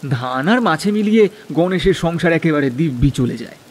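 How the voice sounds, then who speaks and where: Guy narrating a spooky cartoon tale, voice a bit high, faint hiss behind. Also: lively intonation, a little fast. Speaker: story narrator. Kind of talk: scripted storytelling narration for a horror cartoon.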